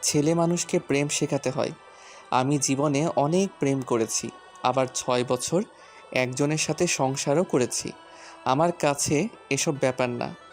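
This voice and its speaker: A stern husband played by a male actor in an audio drama. He is curt and commanding, with a gruff, mocking edge. Soft music runs underneath his middle-pitched voice. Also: unhurried, natural voice. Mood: mocking, teasing. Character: stern, gruff husband who teasingly scolds his wife. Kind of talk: audio story character acting.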